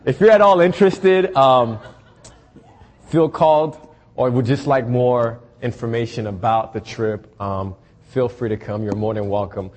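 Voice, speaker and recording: A young man from Haiti, a church intern, giving announcements and a sermon in an earnest, measured way with frequent ums. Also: mid-range voice, darkish tone.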